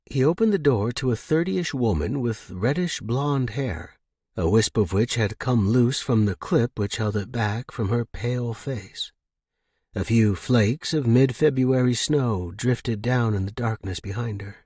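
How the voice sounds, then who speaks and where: A man's voice: medium pitch, measured pace, warm tone, husky, dry recording, studio quality. A man hosting a fiction podcast and narrating short stories. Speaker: podcast host and story narrator. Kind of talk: casual intro and scripted audiobook narration.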